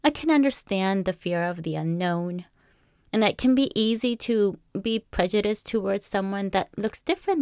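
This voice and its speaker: Young woman, Peruvian-born, measured reflective solo host, mid pitch, close dry mic. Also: creaky, darkish tone, no echo. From Peru. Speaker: podcast host. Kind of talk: scripted solo monologue.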